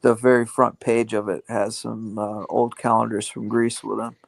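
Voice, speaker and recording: Gravelly guy rambling on his stream, lots of ums and uhs, kinda halting. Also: lowish voice, level tone. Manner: rambling, halting, many fillers. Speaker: livestream host. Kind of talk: casual livestream chat.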